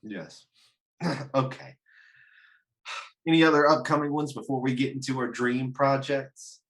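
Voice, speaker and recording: A man's voice: mid-range voice, steady tone, measured pace, fairly bright tone. A man hosting a casual, informal chat about films and games, swearing freely as he goes. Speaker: podcast host.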